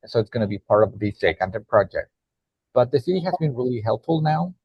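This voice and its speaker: A man's voice: mid-range voice, lively intonation, husky, no echo, dull audio. Man with a Mexican Spanish accent. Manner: halting, many restarts and fillers. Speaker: nonprofit director. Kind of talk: roundtable discussion.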